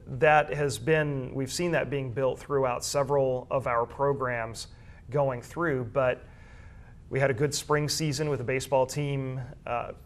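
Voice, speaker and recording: A man discussing high school sports in a conversational way, explaining at length with measured, slightly halting phrasing. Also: fairly even intonation.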